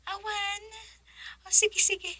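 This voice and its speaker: An actress playing a teasing, needling wife, expressive and playful, in a radio drama. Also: female voice, very high-pitched, slow pace, heavily acted. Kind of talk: Ilocano radio drama acting.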